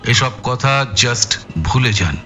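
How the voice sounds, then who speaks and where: A man playing a tired, irritable, sarcastic police detective in an audio thriller, gruff and condescending with weary sighs. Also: mid-range voice, lively intonation, slow pace, bright, forceful tone, faint music behind. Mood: condescending, dismissive. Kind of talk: Bengali audio thriller acting.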